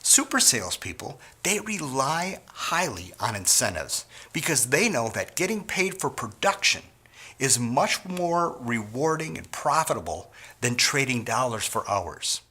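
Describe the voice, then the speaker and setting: A middle-aged man from Wisconsin giving a scripted sales training talk to store owners in an earnest, measured way. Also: medium pitch, sing-song, bright, forceful tone, breathy edge.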